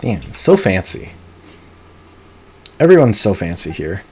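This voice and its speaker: A man streaming a video game live, chatting casually and muttering to himself between bursts of excitement. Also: low voice, slow pace, slightly rough. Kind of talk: live gameplay commentary.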